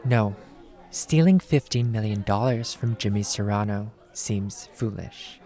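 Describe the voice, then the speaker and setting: A man's voice: lowish voice, measured pace, mellow, faint music behind, natural voice. A man playing a short-tempered, sarcastic bounty hunter and ex-cop, blunt and dismissive, in an acted film script read.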